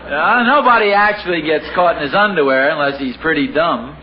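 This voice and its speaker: A man's voice: a little high, expressive, a little fast, muffled recording, over a noisy crowd, natural voice. A middle-aged man playing a genial, easily flustered family father in a radio sitcom, chuckling and amiable. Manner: chuckling, amiable, a little bumbling. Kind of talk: 1950s radio sitcom acting. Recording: scratchy 1950 radio broadcast with hiss.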